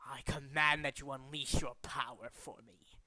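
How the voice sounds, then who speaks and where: A man voicing a cold, megalomaniac villain boss in a dramatic, deliberate video game monologue. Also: mid-range voice, flat delivery, sharp tone, no echo. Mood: grandiose, commanding. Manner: grandiose, deliberate, menacing. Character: cold, megalomaniac male villain boss bent on remaking the world. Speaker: amateur voice actor in demo reel.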